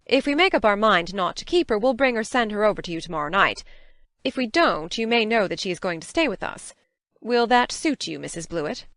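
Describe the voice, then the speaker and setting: Casting: actress as no-nonsense middle-aged farm spinster, scolding, animated delivery, close dry recording. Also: female voice, mid-range voice, a little fast, no room sound. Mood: measured, hesitant. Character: stern, brisk, practical middle-aged spinster farm woman. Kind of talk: audiobook dramatic reading.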